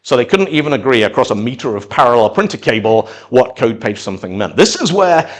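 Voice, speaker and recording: A middle-aged man speaks as a conference presenter, in a conversational storytelling style. His pitch swings widely around an average register, and he leans on emphatic pauses to land his points. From born or raised in Zimbabwe, moved to the UK at ten. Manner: animated, acts out imagined reactions with emphatic pauses. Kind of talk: tech talk to an audience, conversational storytelling.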